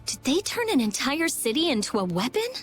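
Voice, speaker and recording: An actress voicing a brainy, blunt teenage girl scholar in a video game, brisk and matter-of-fact. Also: sits high, sing-song, soft background music. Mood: analytical.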